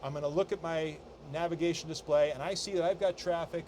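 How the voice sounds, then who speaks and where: American airline captain explaining, noisy background. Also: male voice, on the high side, fairly even intonation, a little fast, a little mellow. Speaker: airline captain overseeing pilot training. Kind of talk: explanatory interview answers. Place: flight training center, partly inside simulator.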